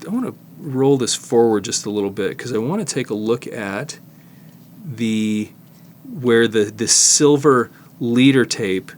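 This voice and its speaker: An older man giving a casual hands-on demonstration, explaining haltingly and thinking aloud as he works. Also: mid-range voice, a little hiss or hum. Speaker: host, tape digitizing technician. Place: studio, indoors.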